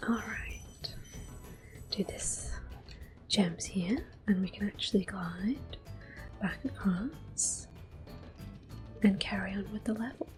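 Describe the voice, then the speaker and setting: Lady whispering super slow over a game, gentle, some music in the back. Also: mid-range voice. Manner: soft whispering, unhurried and gentle. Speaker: ASMR gamer. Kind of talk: whispered gameplay commentary. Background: soft game music and controller clicks.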